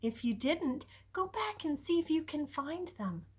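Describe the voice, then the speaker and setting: Animated older woman reading to children, high-pitched. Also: a little slow. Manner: playful, addressing young listeners with questions; dramatic, shifting between hushed whispers and loud exclamations. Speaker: children's story reader. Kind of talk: animated picture-book read-aloud.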